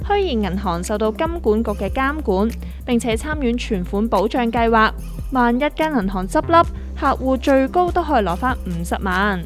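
A woman's voice: fairly high pitch, expressive, normal speed, faint music behind, natural voice. A woman with a Hong Kong accent playing a self-assured, trend-chasing small investor who explains things showily in a comic radio drama. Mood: explaining.

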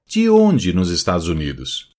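A woman voicing a friendly Brazilian man on a plane in a scripted language-lesson dialogue. She drops to a deeper voice and asks simple, polite questions. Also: wildly swooping pitch, normal speed, darkish tone, full character voice. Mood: friendly, curious.

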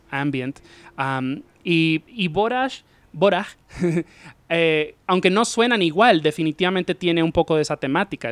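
A man's voice: a little high, clear, resonant tone, no room sound. A man hosting an interview, talking in a hesitant way, often restarting his sentences. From not Chilean, lived in Chile. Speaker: interviewer host. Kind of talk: casual interview chat.

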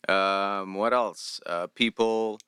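South African man, Afrikaans first language, relaxed joking podcast guest, bright crisp dry voice. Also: on the low side, slow pace, no echo. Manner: laid-back, jokey storyteller. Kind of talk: casual chat.

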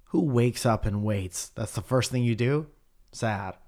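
A man co-hosting a podcast, chatting casually and teasing his partner with quick jokes. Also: medium pitch, darkish tone. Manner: joking, teasing, quick banter. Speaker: podcast co-host, comedian. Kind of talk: casual chat.

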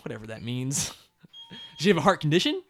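A fairly high, bright-toned man co-hosting a laid-back podcast. His pitch swings a lot as he reads listener mail and jokes around with a laughing, animated delivery. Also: a little fast, husky. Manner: animated, laughs often, joking. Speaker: podcast co-host. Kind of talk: casual podcast chat, reading listener emails.

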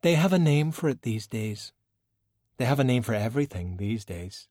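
Audiobook role: elegant, cool veteran sorceress, acted by a man. Formal, measured, admonishing, dry studio sound. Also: mid-range voice, big pitch swings, a little mellow, dry recording. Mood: grave, cool. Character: worldly, composed, cool woman sorcerer, long-lived and stern.

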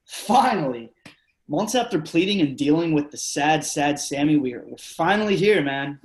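Casting: man playing excitable, theatrical best pal, slightly high voice, grandiose and slangy, echoey room. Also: moderate pace, close to an everyday voice. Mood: pleading, dramatic. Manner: effusive, grandiose, casual slangy delivery. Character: over-dramatic, pleading, excitable male best friend. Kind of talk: theatrical readers-theatre stage acting.

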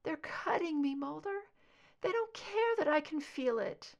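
A woman's voice: animated, a little slow, a bit bright, dull audio. A woman voicing a terrified female agent under hypnosis, crying out in pain in a strained voice. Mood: anguished. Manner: pained, high, crying out.

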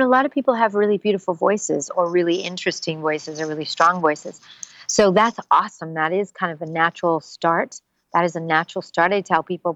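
A woman's voice: fairly low pitch, a bit bright, dry recording, muffled recording. A middle-aged woman voice actress, chatting animatedly in an interview and slipping into little character voices. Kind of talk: casual interview talk.

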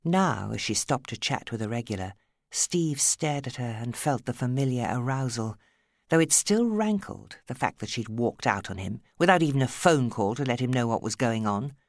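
A woman's voice: very deep voice, expressive, moderate pace, no echo, clean studio sound. A woman with an English accent reading a novel as an audiobook narrator, in a dramatic scripted style.

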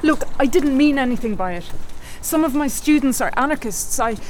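A woman's voice: high voice, animated, normal speed. An actress playing a composed but easily exasperated middle-aged woman academic in a radio drama, curt and dry. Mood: earnest, defensive. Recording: noticeable background noise.

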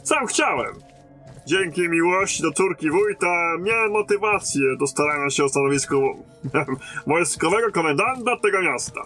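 Man acting a bright, forceful fantasy garrison lieutenant. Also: sits high, animated, soft background music. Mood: reflective. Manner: commanding, barking orders in battle, measured when talking. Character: battle-hardened male lieutenant commanding a besieged fantasy stronghold. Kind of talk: fantasy audio drama acting.

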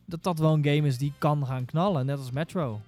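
Male podcast panelist, higher-pitched, hesitant and searching in casual talk, faint music underneath. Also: fairly quick, a little mellow, dry recording. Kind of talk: casual discussion about games.

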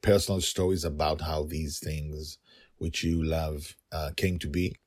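Very deep-voiced man rambling on a podcast. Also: flat delivery, a little slow, darkish tone, dry recording, clean studio sound. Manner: rambling, emphatic, thinking aloud. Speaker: podcast host and writer. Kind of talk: informal podcast monologue.

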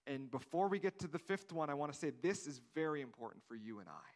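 A man's voice: on the high side, animated, quick pace. A man preaching a sermon in a conversational way, acting out the dialogue of the story and often repeating words as he goes. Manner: repeats words, restarts phrases. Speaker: pastor.

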